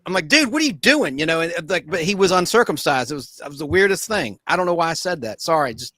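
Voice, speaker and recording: A man with a high, bright voice that swings widely as he jokes around on a panel. The sound is dry and close, with no room around it. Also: animated, fast talker, dry recording. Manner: joking, loopy. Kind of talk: casual panel chat.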